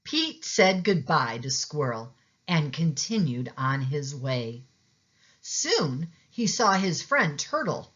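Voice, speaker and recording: Very slow, expressive woman storyteller. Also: low-pitched, husky. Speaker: preschool teacher reading aloud. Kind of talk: expressive children's storybook narration. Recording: slightly dull, lo-fi sound.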